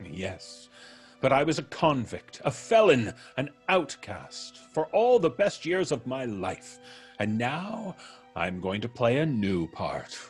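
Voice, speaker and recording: Slow, gloating vengeful ex-convict. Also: male voice, medium pitch, big pitch swings, slightly rough, faint music behind, exaggerated voice. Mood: cold, threatening. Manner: theatrical, drawn-out, florid diction. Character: vengeful, gloating male ex-convict, theatrical and menacing. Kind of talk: old-time radio drama acting.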